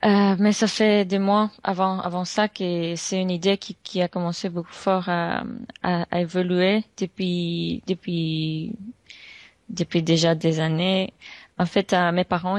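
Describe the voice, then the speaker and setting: Halting woman. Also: fairly low pitch, little pitch movement, dry recording. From Honduras. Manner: halting, many restarts and fillers. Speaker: guest entrepreneur. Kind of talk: interview answers.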